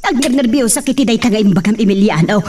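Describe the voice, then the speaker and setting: A fretful middle-aged peasant mother acted by a woman with a fairly high voice and an Ilocano accent. She is in a radio drama, a little far from the mic, with crickets and faint noise. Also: animated, fast talker, mellow, lightly acted. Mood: fretful, alarmed. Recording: distant, faintly noisy recording.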